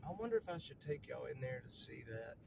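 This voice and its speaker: A man's voice: medium pitch, very animated, fast, creaky, background noise. A man with a stutter, chatting casually on a livestream from a city street while moving around, rambling and repeating himself.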